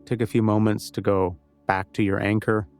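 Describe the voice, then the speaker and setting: A man guides a meditation in a low voice with a noticeable creak. He gives gentle, conversational instructions and leaves pauses between them. Music plays behind him. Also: flat delivery.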